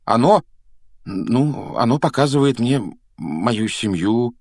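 A man's voice: mid-range voice, lively intonation, normal speed, a little mellow, dry recording, clean studio sound, voice pushed up, close to an everyday voice. A man voicing a stubborn, earnest young schoolboy in a storybook, with a boyish manner. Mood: hesitant. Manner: hesitant or heated, boyish.

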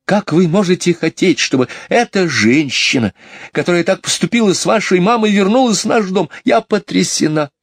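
A man's voice: sits high, animated, moderate pace, breathy edge, no room sound, clean studio sound, voice pushed up, full character voice. A man voicing an anxious, helpless, genteel mother in a storybook, polite but agitated and pleading. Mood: shocked. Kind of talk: audiobook character voice.

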